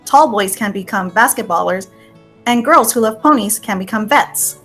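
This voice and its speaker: A woman's voice: medium pitch, normal speed, a bit bright. A woman reading a children's picture book aloud. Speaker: reader. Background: guitar music.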